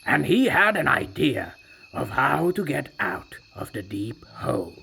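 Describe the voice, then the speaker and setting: A proud, ancient tiger, played by a man, tells a storybook tale in a gravelly, unhurried voice. His delivery swoops up and down with theatrical relish. Loud music plays behind him throughout. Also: mid-range voice, very animated. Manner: theatrical and self-satisfied. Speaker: narrator. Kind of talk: scripted storybook narration. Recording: narration over loud music.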